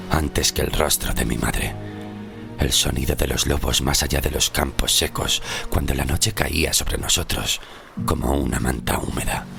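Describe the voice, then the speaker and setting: A man performs a grim, haunted sailor from centuries ago in a horror audio drama. His voice is extremely low and crackles with gravel, and he speaks in a solemn, brooding, deliberate way. Music plays clearly behind him. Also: heavily acted. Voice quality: very deep, gravelly. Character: haunted poor 16th-century Castilian sailor, grim and fearful. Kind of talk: dramatic first-person horror audio fiction monologue.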